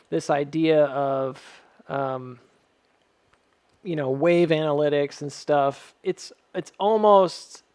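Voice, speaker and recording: Higher-voiced man thinking aloud, dry sound. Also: darkish tone, no room sound. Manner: hedging, thinking aloud, checking in with listeners. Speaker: host of a stock chart analysis video. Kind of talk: casual unscripted market commentary.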